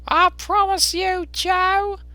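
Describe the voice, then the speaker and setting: A man acts out a callous Victorian charwoman for a storybook. The voice is very high and piercingly sharp, with drawn-out, slow words. She is brazen and coolly scornful, without a shred of shame. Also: level tone, no room sound, heavily acted. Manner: coolly unashamed, drawn-out scoffing delivery. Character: brazen, callous working-class charwoman hawking plunder. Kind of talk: dramatised Victorian storybook reading.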